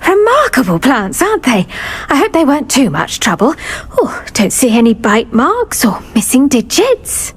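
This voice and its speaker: Kindly herbology teacher, British, very high voice, lively swooping intonation, effusive, encouraging, fantasy game character. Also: female voice, moderate pace, slightly rough, heavily acted. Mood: cheerful, encouraging. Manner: effusive, encouraging, plant puns. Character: cheerful, kindly female herbology professor at a wizard school. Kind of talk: video game character acting.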